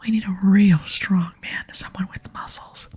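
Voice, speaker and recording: A woman voicing a boastful, scheming female archaeologist from a game in a breathy whisper. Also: medium pitch, fairly even intonation. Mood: brisk, bossy. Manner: self-important, brisk. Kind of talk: whispered ASMR reading of game dialogue.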